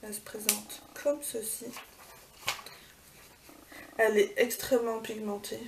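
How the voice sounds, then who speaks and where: Chatty woman vlogger, slightly high voice. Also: little pitch movement, measured pace. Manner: chatty, many fillers and self-corrections. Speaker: beauty vlogger. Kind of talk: casual haul video. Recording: far from the mic, clear background noise.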